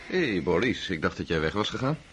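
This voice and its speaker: An actor playing a thoughtful, kindly family friend in a radio play, speaking gently and probingly. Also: male voice, low voice, sing-song, quick pace, slight background noise.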